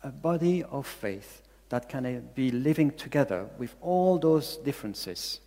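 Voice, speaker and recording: Man, Belgian accent, non-native English, earnest sermon, repeats phrases, mid pitch, long hall reverb. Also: animated, measured pace, mellow. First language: not English. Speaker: pastor.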